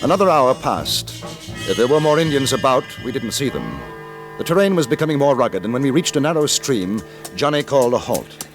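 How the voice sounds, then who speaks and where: A man's voice: medium pitch, big pitch swings, brisk, lightly acted. A composed, wry ex-cavalry officer played by a male actor tells his story in an old radio western. His delivery is understated yet widely inflected, with music around the vintage recording. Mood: grave narration. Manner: understated, dryly laconic. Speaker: lead actor and first-person narrator. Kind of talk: old-time radio western acting and narration.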